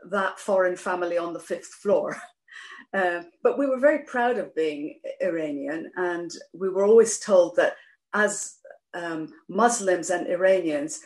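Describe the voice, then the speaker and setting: A woman's voice: on the low side, darkish tone. A middle-aged woman from Iran, a guest in an interview, talking hesitantly with many fillers and restarts. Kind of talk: conversational interview. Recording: roomy echo.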